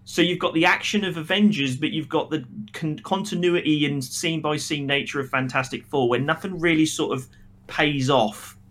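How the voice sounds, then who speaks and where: A man with a slightly high-pitched voice talks as a podcast co-host. His review chat is casual and opinionated. He makes his points bluntly and with emphasis, in a critical way. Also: moderate pace, a bit bright.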